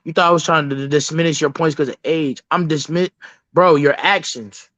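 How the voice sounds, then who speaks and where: A man's voice: fairly high pitch, fairly even intonation, moderate pace, fairly bright tone, dry recording, lo-fi sound. A man in his twenties on an online panel call, accusing another panelist and sprinkling "bro" through every sentence. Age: 20s. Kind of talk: heated online panel argument.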